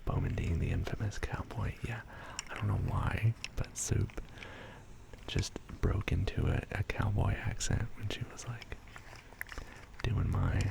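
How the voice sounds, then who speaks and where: Whispering man with a gravelly low voice. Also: unhurried. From Texas. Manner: soft whispering, chatty, reads and answers chat. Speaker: ASMR streamer. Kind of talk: whispered ASMR livestream chat. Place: home studio. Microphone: studio condenser mic.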